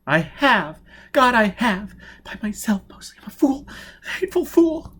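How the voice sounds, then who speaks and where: An anguished young woman from an audiobook novel, acted by a man. The voice swings widely, rising high and dropping to a breathy whisper, full of fear and self-reproach. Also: big pitch swings, moderate pace, fairly bright tone, heavily acted. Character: exhausted, fearful young woman telepath, self-blaming and distrustful.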